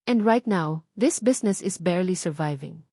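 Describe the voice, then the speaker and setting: A woman's voice: fairly low pitch, expressive, moderate pace, dark tone, husky, dry recording, clean studio sound. A woman with a Filipino accent voicing a cold, unyielding male executive in an audio love story, formal and clipped. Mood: firm, critical.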